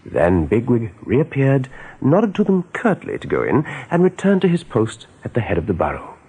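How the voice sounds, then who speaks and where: A man narrating a radio drama in a measured, scripted storytelling style. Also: mid-range voice, huge pitch swings, dark tone, breathy edge, heavily acted.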